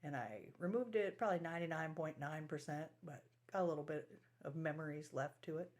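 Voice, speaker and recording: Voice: medium pitch, a little fast, a little mellow. Casting: DIY restoration host with a mid-range voice, informal and folksy, talking through hands-on work step by step. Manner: folksy, colloquial phrasing. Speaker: host of a restoration project video. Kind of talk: casual how-to narration of a restoration project.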